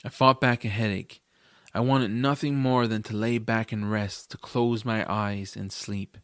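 A man's voice: fairly low pitch, steady tone, a little slow, fairly bright tone, smooth, dry recording, clean studio sound. A man playing an earnest, self-doubting boy hero who narrates a fantasy audio drama in the first person. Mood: reflective. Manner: earnest, youthful phrasing.

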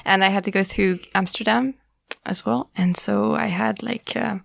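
Gravelly young American woman co-host. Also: mid-range voice, moderate pace, no echo, studio quality. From Switzerland. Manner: relaxed, giggly, trailing off. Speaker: student radio co-host. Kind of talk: casual radio chat. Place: student radio studio.

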